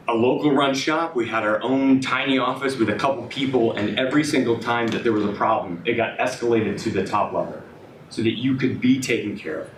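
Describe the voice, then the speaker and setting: A slightly hoarse man pitching his startup to an audience. He hesitates a lot, with many fillers and restarts. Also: slight background noise. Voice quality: raspy. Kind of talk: business pitch presentation. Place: event room with audience. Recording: echoey room, speaker far from mic.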